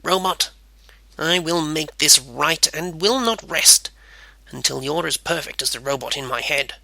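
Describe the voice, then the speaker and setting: A man's voice: on the high side, unhurried, vocal fry, pushed and bright, dry recording, heavily acted. A man voicing an eager, proud amateur inventor in a narrated story. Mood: eager, earnest.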